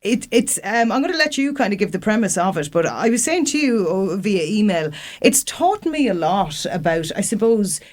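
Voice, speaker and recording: A woman's voice: mid-range voice, very animated, normal speed, few pauses. A woman hosting a radio interview in a studio, chatty and encouraging. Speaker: radio show host.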